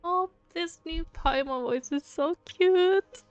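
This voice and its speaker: A woman doing casual, chatty game commentary in an unhurried, hesitant way, picked up unevenly by a gated microphone. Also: extremely high pitch, no room sound, highs cut off. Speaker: game streamer. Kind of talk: casual game playthrough commentary, reading dialogue aloud. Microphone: gated microphone, uneven pickup. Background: game music.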